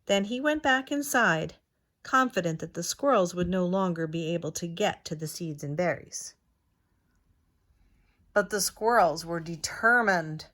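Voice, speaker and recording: A woman reading a children's storybook aloud in a deliberate, expressive teacher's manner. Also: lowish voice.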